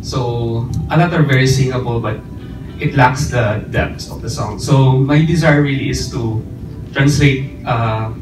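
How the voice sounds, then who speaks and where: A man with a Filipino accent asks a panel a question, pausing often to find his words. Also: medium pitch, over music. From Philippines. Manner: hesitant, searching for words. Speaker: conference attendee asking a question. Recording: echoey hall mic from a distance.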